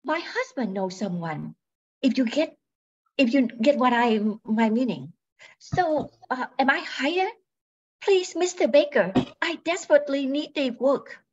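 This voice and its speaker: Woman acting a high, husky-voiced plucky job seeker. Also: lively intonation, unhurried, darkish tone, lo-fi sound. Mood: conspiratorial, pleading. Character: plucky, polite young pregnant woman seeking work. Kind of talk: 1940s radio drama acting.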